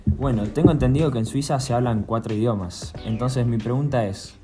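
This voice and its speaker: A man hosting a podcast interview, asking measured, prepared questions. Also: medium pitch. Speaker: podcast host. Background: music.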